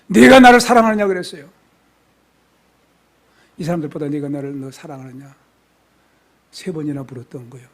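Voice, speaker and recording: Fervent high-voiced male pastor preaching. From South Korea. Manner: fervent preaching, swings from soft near-whispers to loud exclamations. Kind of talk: expository sermon. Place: church sanctuary.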